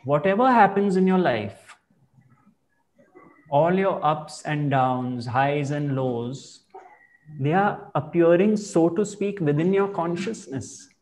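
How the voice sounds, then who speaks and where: A man speaking as a spiritual teacher, explaining ideas in slow, measured and reflective phrasing. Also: on the high side, a little mellow, low-quality recording. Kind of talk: calm explanatory spiritual talk.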